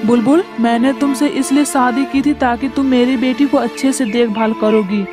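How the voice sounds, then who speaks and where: A woman's voice: fairly high pitch, lightly acted. A woman voicing a protective father parrot in a cartoon story, earnest and emotional. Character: protective father parrot, tender toward daughter. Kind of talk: cartoon story character acting.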